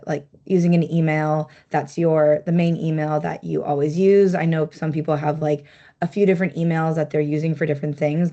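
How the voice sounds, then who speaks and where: A woman presenting an online workshop on a video call, in a relaxed, chatty manner full of "like" and "um". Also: deep voice, highs cut off. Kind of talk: casual instructional talk.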